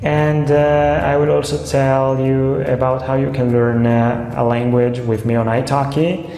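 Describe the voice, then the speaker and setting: A man's voice: mid-range voice, level tone, measured pace, dark tone. A young Italian man talking casually to camera about tutoring, with many hesitant fillers. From Italy. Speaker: online language tutor. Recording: echoey room with long reverb.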